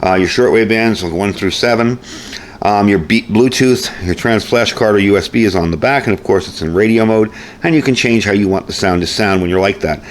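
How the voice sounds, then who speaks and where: A man with a low voice talks through a product review in a chatty, informal way. His phrasing is folksy and plain. There is noticeable background noise on the recording. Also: fairly quick. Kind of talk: casual product review to camera.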